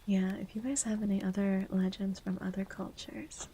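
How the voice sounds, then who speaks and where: A woman whispering softly, chatting and reading a legend in an ASMR stream, with a feminine voice on the low end of the register. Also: level tone, normal speed, a little hiss or hum. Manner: soft unvoiced whispering.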